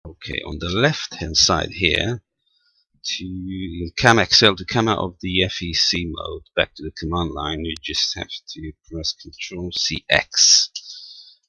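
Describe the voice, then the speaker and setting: A man giving an informal technical demonstration as a presenter, halting and thinking aloud as he goes. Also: sits low, fairly even intonation, vocal fry, sharp tone, slightly rough, no echo, poor audio. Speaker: amateur radio tutorial presenter. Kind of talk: informal spoken software demonstration.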